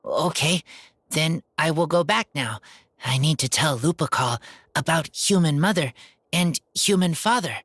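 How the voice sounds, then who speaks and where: A man voicing a shy young man raised by wolves, speaking in short halting sentences. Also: a little high, lively intonation, fairly bright tone, slightly rough, dry recording, clean studio sound, full character voice. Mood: earnest. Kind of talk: game voice acting.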